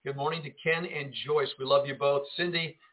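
A man's voice: a little high, fairly even intonation, normal speed. Fervent preaching man on a tinny phone line. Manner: fervent preaching that swings between loud emphasis and quiet asides. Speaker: pastor and radio host. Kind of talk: preaching and greeting listeners on a live broadcast.

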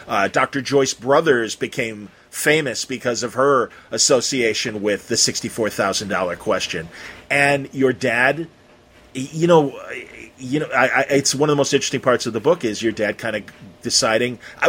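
Male interview host, slightly husky, conversational and effusive, prone to tangled, wandering phrases. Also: mid-range voice, a bit bright. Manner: effusive, rambling, stumbling over phrases.

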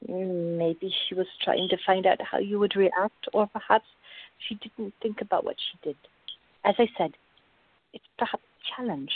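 An actress playing a calm, patient, gently firm woman who reasons in measured tones in a radio drama. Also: medium pitch, natural voice. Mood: reasoning. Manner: measured, placating, explanatory. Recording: tinny, band-limited, echoey room.

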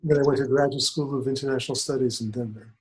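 An older man who is a spiritual teacher and author, answering interview questions in a measured, thoughtful way. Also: medium pitch, steady tone, poor audio.

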